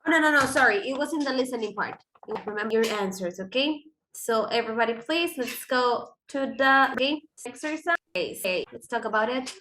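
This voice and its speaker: A woman's voice: on the high side, a little fast, hardly any pauses, bright, forceful tone, slight background noise. A woman who is a native Spanish speaker, teaching English online and calling on students in an informal, self-correcting way. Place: online video class.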